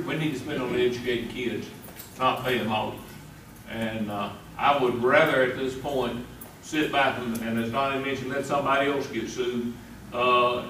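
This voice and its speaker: A middle-aged man gives his view at a board meeting, plain-spoken, with frequent "uh" pauses. His voice carries from across a roomy hall with background noise beneath it. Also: medium pitch. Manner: plain-spoken, many "uh" fillers. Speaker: school board member. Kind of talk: formal meeting discussion. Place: large meeting room. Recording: echoey room, far from mic, background noise.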